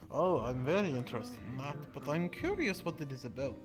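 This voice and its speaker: A man's voice: mid-range voice, animated, brisk, natural voice. A man playing a curious, wry tavern regular in an improvised roleplay, speaking in a formal, understated way. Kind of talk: improvised in-character roleplay dialogue. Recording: loud background music.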